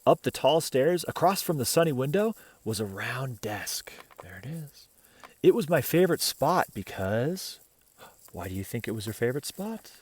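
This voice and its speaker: Expressive man reading a children's picture book. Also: mid-range voice, husky. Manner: expressive storyteller, pausing to comment and question the listener. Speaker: dad reading children's books aloud. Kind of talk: children's picture book read-aloud with casual asides.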